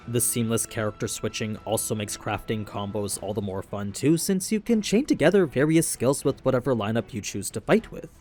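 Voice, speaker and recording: A man reading scripted narration in a measured explainer manner, as for a recap video. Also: medium pitch, darkish tone. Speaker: narrator of an anime lore recap show. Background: background music.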